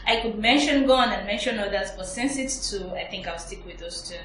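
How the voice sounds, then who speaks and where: A woman's voice: medium pitch, fairly quick, a bit bright. A woman giving her opinions as a panelist in a casual review discussion. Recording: echoey room with faint background noise.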